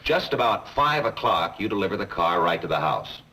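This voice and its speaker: A deep-voiced eager car buyer. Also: male voice, big pitch swings, normal speed, sharp tone, distant mic, slight background noise, full character voice, rough recording. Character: impulsive male car buyer, a husband. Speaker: customer. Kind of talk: 1950s training film acting.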